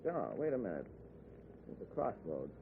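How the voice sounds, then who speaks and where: A man's voice: fairly low pitch, very animated, normal speed, gravelly. Tinny noisy old radio recording, breezy chatty male motorist, swooping intonation, friendly and nosy, 1940s radio acting. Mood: businesslike. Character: chatty, friendly middle-class man driving at night.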